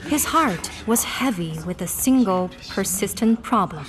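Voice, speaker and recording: A woman's voice: mid-range voice, expressive, a little slow. A woman narrating a scripted historical audio drama in a solemn, theatrical storytelling style.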